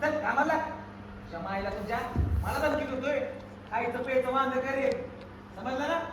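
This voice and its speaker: Man playing threatening rural Maharashtrian foreman, very high pitch, barked orders, sarcastic, stage play, distant mic. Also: moderate pace, background noise. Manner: barks orders and taunts. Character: bossy, foul-mouthed labour gang foreman, threatening and sarcastic. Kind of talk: amateur stage play acting. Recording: echoey hall, distant mic, audience and music.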